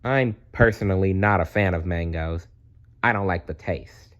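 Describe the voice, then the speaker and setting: A man reading a storybook aloud with expressive narration and personal asides. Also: sits low, normal speed, muffled recording. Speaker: storybook reader.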